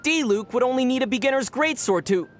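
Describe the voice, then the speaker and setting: An actor playing a calm, good-natured teenage boy adventurer in a video game, dry and gently teasing. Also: high-pitched, fairly quick, faint music behind. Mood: argumentative.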